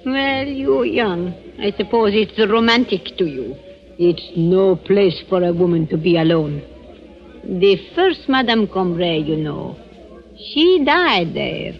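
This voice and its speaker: Swooping, nosy village gossip. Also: female voice, medium pitch, lively intonation. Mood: insinuating. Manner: drawn-out, pointed, busybody delivery. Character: nosy, gossiping, insinuating French village shopwoman. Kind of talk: 1940s radio drama acting. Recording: muffled 1940s radio, music behind.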